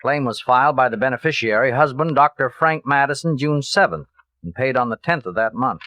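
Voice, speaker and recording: American insurance executive, 1950s radio mystery; cordial, businesslike, forceful tone, dry studio sound. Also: male voice, mid-range voice, lively intonation, fairly quick, no room sound. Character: affable male insurance office executive, businesslike. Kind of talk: 1950s radio drama acting.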